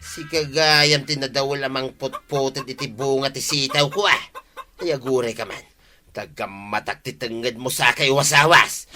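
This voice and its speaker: An actor playing a hot-tempered village man who grumbles and flares up quickly, in a radio comedy drama. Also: mid-range voice, over music, close to an everyday voice. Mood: fuming. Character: hot-tempered village man fuming over his crops.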